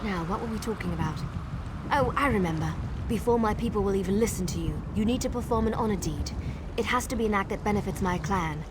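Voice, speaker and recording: A woman playing a pragmatic, secretive female wood elf who gives measured instructions as quest dialogue in a video game. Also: medium pitch, natural voice. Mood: resolute, matter-of-fact. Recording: game dialogue over clear background noise.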